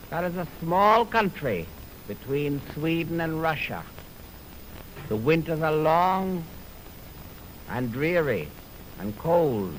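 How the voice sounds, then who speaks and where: Slow, formal 1920s radio announcer, man. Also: fairly high pitch, fairly bright tone. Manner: formal, deliberate phrasing in short measured groups. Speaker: radio announcer and music commentator. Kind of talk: 1920s radio music introduction. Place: radio studio. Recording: noisy 1929 radio aircheck on optical film.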